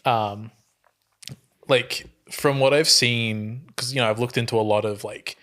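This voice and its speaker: A man's voice: mid-range voice, fairly even intonation, unhurried, a bit bright. A man co-hosting a casual podcast, explaining things in an earnest, rambling way. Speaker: podcast co-host.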